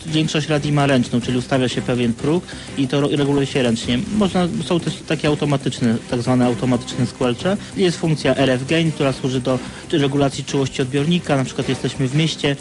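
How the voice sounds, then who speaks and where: Rzeczowy mężczyzna o przeciętnej wysokości głosu. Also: male voice, mid-range voice, a little fast, few pauses, rough recording. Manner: matter-of-fact, technical. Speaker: radio communications shop employee, expert interviewee. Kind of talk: informative product explanation.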